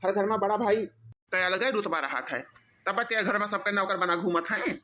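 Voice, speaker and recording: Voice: medium pitch, moderate pace, bright, forceful tone, close to an everyday voice. A performer voicing a smug, mocking young husband in an Awadhi cartoon comedy, teasing and boastful with an Awadhi accent. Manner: teasing, boastful, rambling mockery.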